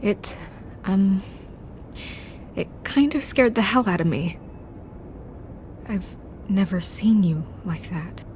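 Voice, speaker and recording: A woman playing a stern but caring leader in a video game, reserved and proper, with measured, careful delivery. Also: mid-range voice, lively intonation, a little mellow, slight background noise, rough recording. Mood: shaken, tender.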